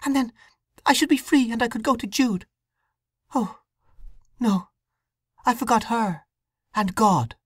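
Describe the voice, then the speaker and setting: A woman doing a high anguished young woman. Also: unhurried, pauses often, slightly rough, no room sound, studio quality. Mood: fearful. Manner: anguished, pleading, breathless. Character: young distraught woman torn by conscience.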